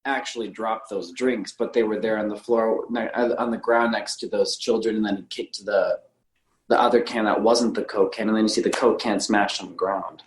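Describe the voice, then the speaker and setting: A man's voice: lowish voice, fairly even intonation, moderate pace. A man in a casual film discussion as podcast co-host, talking tentatively and correcting himself as he goes. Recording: roomy, echoey sound.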